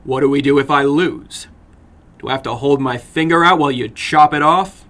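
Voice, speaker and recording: A man voicing a wary young American man with a deliberate, questioning delivery in a dramatic story reading. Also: mid-range voice, fairly bright tone, natural voice.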